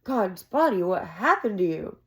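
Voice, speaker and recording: A woman's voice: medium pitch, big pitch swings, unhurried. A woman voicing an argumentative, grumbling village man in a fantasy tavern, blunt and insistent, with rustic phrasing. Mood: gruff, urgent.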